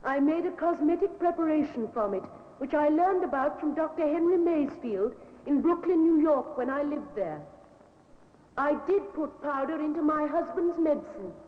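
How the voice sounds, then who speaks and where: An actress playing an accused wife making a formal, measured, earnest plea in a 1950s radio drama. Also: female voice, high voice, expressive. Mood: composed, defensive. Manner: formal, measured courtroom statement. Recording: tinny, echoey 1950s radio recording.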